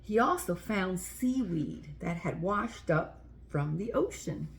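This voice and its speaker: A woman's voice: lowish voice, animated, slow. A woman hosting a children's story time, reading aloud and speaking directly to young listeners in simple, explanatory language.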